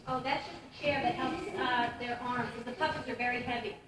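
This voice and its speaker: A woman puppeteer explaining things plainly and patiently to schoolchildren after a puppet show, pausing with ums. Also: steady tone, heavy reverb. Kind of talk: informal classroom explanation. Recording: booming gym, distant camcorder, heavy hiss.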